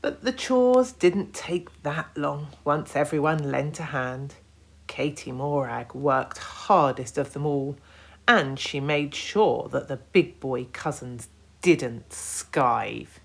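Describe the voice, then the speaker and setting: A woman narrating a children's storybook in a deliberate, expressive way, as a storyteller to young listeners. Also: low voice, gravelly. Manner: deliberate, expressive, hushed at times.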